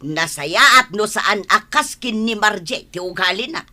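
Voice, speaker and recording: Woman acting a bright, exclamatory village mother. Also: medium pitch, expressive, unhurried. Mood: eager, teasing. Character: exclamatory, doting village mother of grown son. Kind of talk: Ilocano radio comedy drama acting.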